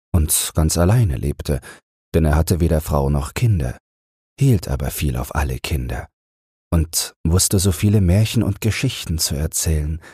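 A man narrating a bedtime fairy tale audiobook in a calm, soothing, measured manner. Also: very deep voice, breathy edge, no echo, studio quality.

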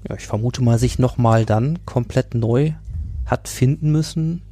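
A man in his forties hosting a conversational podcast interview, feeling his way through halting, circling phrases. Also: mid-range voice, warm tone, dry recording. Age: 40s.

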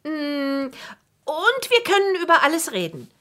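An actress playing an affectionate, loyal teenage girl in a children's audio drama, earnest and expressive. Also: sits high, a little slow, full character voice. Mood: tender, sincere.

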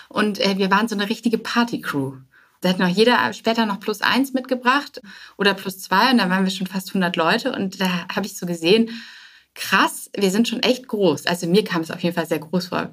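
A woman giving casual interview answers as a start-up founder, informal and hesitant, with English business words mixed in. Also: mid-range voice.

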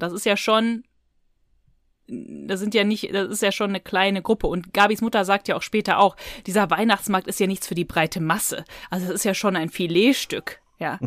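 A woman's voice: medium pitch, a bit bright, no echo. Woman, dry close-up podcast voice. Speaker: podcast co-host. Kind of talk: casual podcast discussion.

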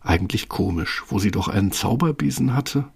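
A man's voice: on the low side, expressive, brisk, gravelly, a little mellow, husky. A man narrating a children's fairy tale as a storybook narrator, with a wry, tongue-in-cheek touch. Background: music.

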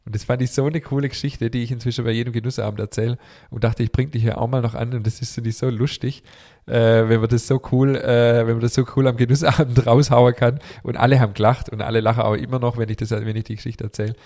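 A man's voice: mid-range voice, flat delivery, fast talker, dark tone. A man hosting a podcast in casual chat, a little stuffy from a cold, rambling with many fillers and restarts. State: has a cold. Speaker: podcast host.

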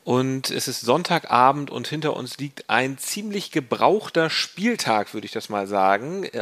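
A man co-hosting a casual football podcast, talking loosely with frequent restarts and fillers. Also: medium pitch. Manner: chatty, many restarts and fillers. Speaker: podcast co-host.

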